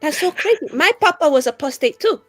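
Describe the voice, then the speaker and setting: A woman's voice: high-pitched, lively intonation, brisk, no echo, faint music behind, pitched above the performer's own voice. A woman voicing a chatty, naive, good-natured elf in a tabletop roleplay, rambling playfully. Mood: sympathetic. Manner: rambling, filler-heavy, playful.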